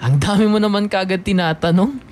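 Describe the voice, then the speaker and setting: A woman's voice: mid-range voice, brisk, darkish tone, slight background noise, close to an everyday voice. A woman playing a cocky, laid-back rich male college student in a radio drama, with a Filipino accent and some English mixed in. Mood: breezy, teasing. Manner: nonchalant, defensive, mixes Tagalog and English. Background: faint music.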